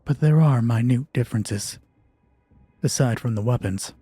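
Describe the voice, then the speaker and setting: Husky, mellow male storyteller. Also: mid-range voice, moderate pace, no room sound, soft background music. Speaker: host and narrator of a solo roleplay channel. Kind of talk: scripted dramatic horror story narration.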